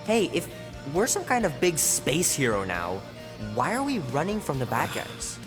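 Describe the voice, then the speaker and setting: Blunt young hero, wildly animated. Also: male voice, a little high, normal speed, music in the background. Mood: impatient. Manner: blunt, questioning. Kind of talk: video game cutscene acting.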